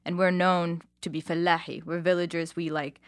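A woman's voice: on the low side, little pitch movement, normal speed, clean tone, no echo, clean studio sound. A woman from Palestine, a podcast guest in casual chat, who laughs often and explains things with enthusiasm.

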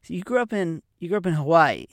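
A man hosting a podcast interview, friendly and quick to laugh, often stumbling and restarting his sentences. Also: a little high, lively intonation, dry recording, clean studio sound. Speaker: podcast host.

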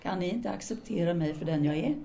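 A woman's voice: on the low side, gravelly, warm tone. A woman playing a hard, scornful, self-possessed intellectual in an audio drama, with a cool, formal, cutting manner. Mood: defiant.

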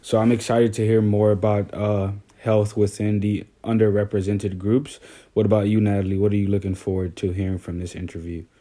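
A young man from Haiti hosts a podcast in a low, mellow voice. He reads steadily and pauses now and then on small fillers. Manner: steady, slightly halting with fillers. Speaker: podcast host, graduate student. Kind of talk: semi-scripted podcast hosting.

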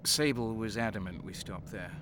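An actor playing a bitter, violent male renegade guardsman, narrating slowly in the first person. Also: fairly low pitch, noisy background, lightly acted. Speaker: narrator and lead. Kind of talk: audio drama narration. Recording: music underneath.

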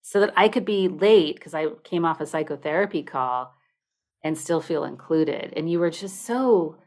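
A woman with a slightly low voice hosts a casual interview. She is playful and chatty. She often breaks off and restarts her sentences. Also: animated, moderate pace, a little mellow. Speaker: podcast host.

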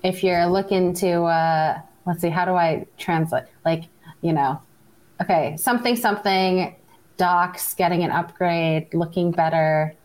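Playful, lower-voiced woman podcast host. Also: fairly even intonation, measured pace, darkish tone. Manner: playful, teasing. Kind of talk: casual podcast chat.

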